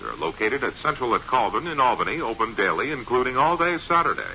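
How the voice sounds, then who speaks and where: A man's voice: medium pitch, sing-song, fast, bright, forceful tone, poor audio. A man with an American accent reading a scripted 1960s radio advertisement in a polished, salesmanlike way. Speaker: radio commercial announcer. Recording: hissy vintage radio recording.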